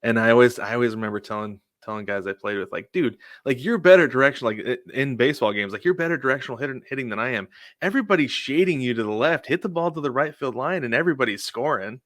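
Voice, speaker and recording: A man's voice: mid-range voice, lively intonation, fast talker, a bit bright, no room sound, clean studio sound. A man co-hosting a sports podcast in casual chat, informal and anecdotal, with plenty of conversational filler. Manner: informal, anecdotal, frequent "like" and "you know". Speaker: podcast co-host.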